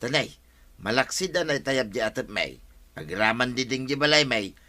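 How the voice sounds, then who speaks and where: A man acts out an aggrieved poor husband in a village comedy drama. The voice is medium in pitch and strikingly sharp. He grumbles on, insistent and put out. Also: lively intonation, normal speed. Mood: grumbling. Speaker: actor in an Ilocano comedy drama. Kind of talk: comedy radio drama acting.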